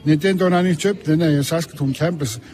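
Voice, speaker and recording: A man whose first language is Cree, being interviewed as an author, speaking haltingly with many restarts. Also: on the high side, a little mellow. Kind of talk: casual interview talk.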